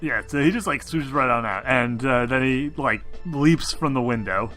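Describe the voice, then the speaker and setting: A man's voice: medium pitch, moderate pace, sharp tone, faint music behind. A man acting as game master in casual tabletop roleplay, making firm, informal rulings.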